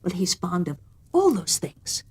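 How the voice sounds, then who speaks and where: A woman's voice: lowish voice, sing-song, a little slow, darkish tone, slight background noise. A woman voicing a little gossipy bat messenger in a storybook, informative and courteous. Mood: confiding.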